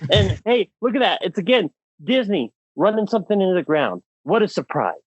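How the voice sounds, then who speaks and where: Male podcast host, highish voice, very lively intonation, playful showman, dry slightly muffled sound. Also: a little fast, husky, no room sound. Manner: playful, mock-outraged showmanship. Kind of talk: casual podcast banter.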